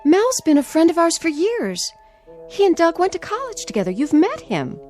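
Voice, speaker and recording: A worried, caring granddaughter in a radio drama. Her high voice moves expressively up and down as she earnestly pleads, over faint music. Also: huge pitch swings, moderate pace, darkish tone, heavily acted. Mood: pleading, gentle. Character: anxious, caring granddaughter and young wife.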